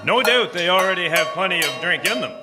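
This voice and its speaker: A man's voice: sits high, expressive, fairly quick. A man playing a disgruntled, proper Victorian father of the bride in a radio drama. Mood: resigned, grumbling. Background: music and clanging pots and pans.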